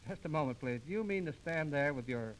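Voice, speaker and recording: A man's voice: a little high, lively intonation, quick pace, slight background noise, poor audio. A man performing theatrical, mock-exasperated comic patter as the straight man of an old 1930s radio comedy duo. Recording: restored 1930s radio transcription disc.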